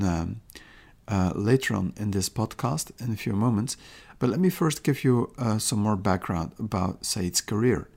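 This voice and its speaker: A man giving a lecture-style podcast talk, explaining at a measured pace with occasional restarts and quoted passages. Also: on the low side, a little mellow.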